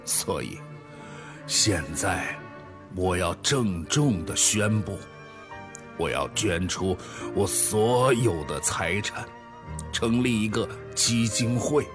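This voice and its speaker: Radio drama role: penitent male founder played by a man, deep, weighty, unhurried, confessing gravely. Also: lively intonation, over music. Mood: grave, apologetic. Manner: grave, solemn, deliberate, sighing. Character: remorseful male tech founder confessing a fraud. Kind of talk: dramatic radio play monologue.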